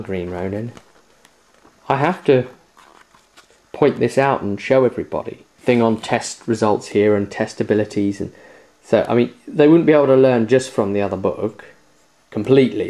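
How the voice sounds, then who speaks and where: A man with a medium-pitched voice talks casually to viewers as a video host. He is enthusiastic and chatty, leaning on key words as he walks through a product review. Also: darkish tone. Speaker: host of a tabletop gaming video series.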